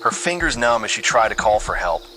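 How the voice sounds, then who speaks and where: A man's voice: on the low side, sing-song, brisk. A man reading a scripted horror story with dramatic, suspenseful delivery. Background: music under the voice.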